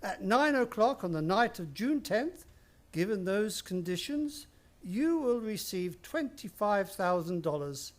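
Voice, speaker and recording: An actor playing a miserly, menacing older stepfather in a suspense radio play, speaking with deliberate mockery. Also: high-pitched, very animated. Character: sinister, miserly, taunting wealthy stepfather, older man.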